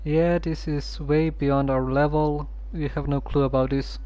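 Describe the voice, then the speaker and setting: A streamer casually commenting on a story game with a hesitant, musing, deadpan way of talking. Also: mid-range voice, unhurried.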